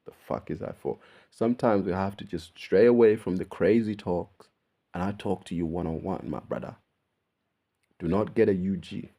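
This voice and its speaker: A man's voice: deep voice, normal speed, warm tone. A man with a Melbourne Australian accent, talking casually straight to his viewers in a laid-back, swearing monologue. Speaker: YouTuber.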